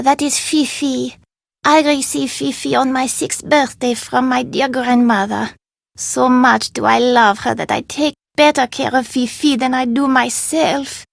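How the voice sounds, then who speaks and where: An actress playing a proud, stubborn street vendor in a video game, haggling emphatically in formal phrasing. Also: female voice, fairly high pitch, gravelly, dry recording, clean studio sound. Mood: sentimental. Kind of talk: video game character dialogue.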